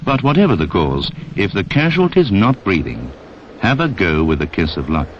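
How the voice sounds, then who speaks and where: A deep-voiced man delivers scripted 1960s film narration. His intonation moves widely as he addresses the listener directly with pointed instruction. The soundtrack is dull and dated. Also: very animated, normal speed, a little hiss or hum. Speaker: narrator of a public information film.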